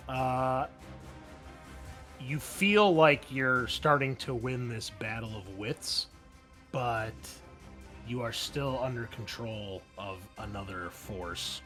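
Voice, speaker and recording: A man's voice: mid-range voice, slow, pauses often. Man game-mastering over faint music. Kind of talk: improvised narration of a tabletop roleplaying game.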